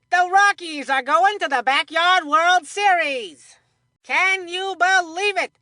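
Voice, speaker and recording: An excitable little boy calls baseball for a cartoonish kids' game. The voice is very high and forceful, with a theatrical, earnest announcer delivery. The sound is dry and close. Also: dry recording, full character voice. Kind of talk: animated sports commentary for a kids' video game.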